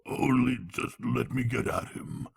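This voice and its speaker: A man voicing an excitable, indignant toad in a storybook, blustering with injured pride. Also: mid-range voice, measured pace, vocal fry, husky, exaggerated voice. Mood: angry muttering. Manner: blustering, agitated.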